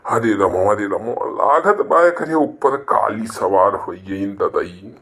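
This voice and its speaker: A man's voice: fairly low pitch, expressive, slow pace, a bit bright, slight background noise, exaggerated voice. A man voicing a sly, nervous village husband with an Awadhi accent in a rural cartoon comedy. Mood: worried, fearful.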